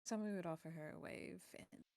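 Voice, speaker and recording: Female tabletop player, slightly low and creaky, relaxed conversational delivery. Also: fast talker, no echo. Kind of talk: casual game chat.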